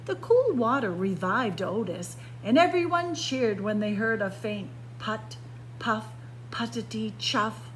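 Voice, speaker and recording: A woman reading a children's picture book aloud as a story time narrator, with expressive, dramatic phrasing. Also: slow pace, poor audio. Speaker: library story time reader. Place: indoors by bookshelves. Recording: clear background noise with low hum.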